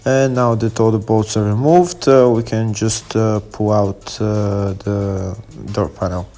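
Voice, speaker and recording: A man narrating a step-by-step car repair tutorial in a halting way, pausing mid-sentence. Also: on the low side, steady tone, warm tone, soft background music.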